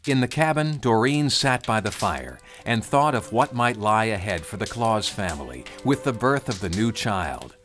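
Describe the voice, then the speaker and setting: A man's voice: on the low side, animated, moderate pace, hardly any pauses, a bit bright. A man narrating a scripted storybook tale in a dramatic storytelling style. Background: music under the narration.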